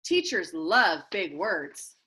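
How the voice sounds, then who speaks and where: A woman's voice: fairly low pitch, animated, slow, bright, forceful tone, voice pushed down, heavily acted. A woman voicing a smug, snobbish rich schoolboy with a haughty, demanding manner in a storybook.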